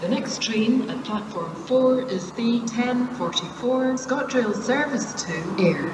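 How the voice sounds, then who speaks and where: A woman making formal automated railway station announcements in a measured, formulaic way over a public address system. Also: on the high side, expressive, noisy background. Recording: echoey station PA, long reverb, distant mic.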